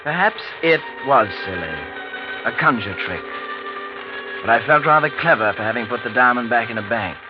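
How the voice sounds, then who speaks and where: A man playing a well-bred, easygoing young English gentleman, in old radio drama dialogue and narration. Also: mid-range voice, expressive, normal speed, over music, close to an everyday voice. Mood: reflective narration. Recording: dull, noisy old radio recording.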